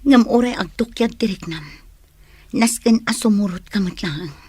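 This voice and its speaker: Woman playing protective big sister, ilocano accent, medium pitch, radio play, worried. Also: normal speed, dark tone, husky, dry recording, natural voice. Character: protective older sister, worried and counselling patience. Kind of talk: Ilocano radio drama acting.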